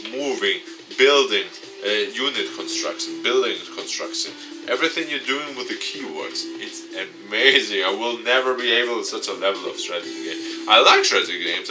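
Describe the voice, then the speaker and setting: A man reading a visual novel aloud and commenting off the cuff, dramatic with excitable asides. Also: lowish voice, moderate pace. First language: not English. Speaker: let's play YouTuber. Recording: distant mic, music behind. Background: game music.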